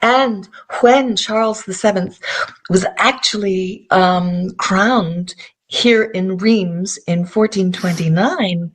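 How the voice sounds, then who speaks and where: A woman with a Canadian accent, a podcast guest telling history with enthusiasm between many pauses and fillers. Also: mid-range voice. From Scotland. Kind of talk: interview chat.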